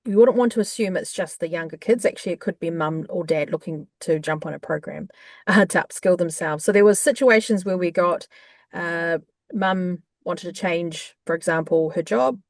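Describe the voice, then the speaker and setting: Chatty New Zealand woman, low voice. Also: moderate pace, no room sound. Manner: warm, chatty, frequent fillers. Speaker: guest. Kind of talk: conversational interview.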